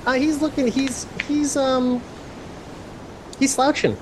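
Halting man improvising at a game table. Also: fairly even intonation, darkish tone, low-quality recording. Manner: halting, repeats phrases as he improvises. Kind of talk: casual improvised character description. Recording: faint rumble behind voice.

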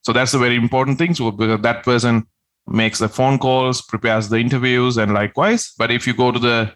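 A man's voice: medium pitch, steady tone, a little fast, fairly bright tone. Earnest Sri man. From Sri Lanka. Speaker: tax agent, guest. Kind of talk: interview answers.

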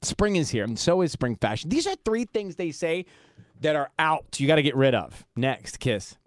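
A man's voice: fairly high pitch, very animated, moderate pace, no room sound, clean studio sound. A man hosting a morning radio show, chatting casually and teasing his co-hosts with frequent laughs.

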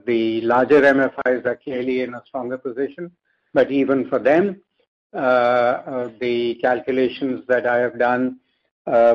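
Indian man, halting, on a dull call line. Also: mid-range voice. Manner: halting, measured, deliberate phrasing. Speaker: industry expert guest. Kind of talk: conference call answer, explanatory. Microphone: conference call line. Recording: dull lo-fi conference call audio.

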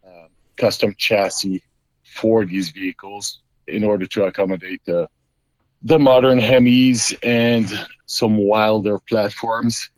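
A man's voice: lowish voice, fairly even intonation, takes it slowly, no echo, rough recording. A middle-aged man from Belgium, a guest explaining his custom Jeep builds in casual talk, with many fillers and restarts.

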